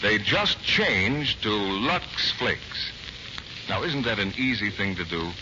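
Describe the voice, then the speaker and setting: A man's voice: fairly low pitch, lively intonation, slow. A man announcing and selling in a polished, persuasive 1940s radio style. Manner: polished, persuasive sales delivery. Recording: muffled, noisy 1940s radio broadcast.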